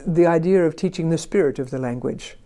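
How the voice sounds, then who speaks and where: Woman, language teacher, mellow tone, emphatic, fond of rhetorical questions. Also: low voice, a little fast. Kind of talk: conversational discussion.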